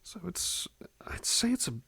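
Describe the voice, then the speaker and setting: A man playing a gentle, reflective butler in a soft-spoken ASMR roleplay, musing slowly and thoughtfully, at times dropping into a near-whisper. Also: dry recording. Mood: wistful, musing. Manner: hesitant, thoughtful, musing, unhurried. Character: gentle, reflective male butler reading poetry to his charge.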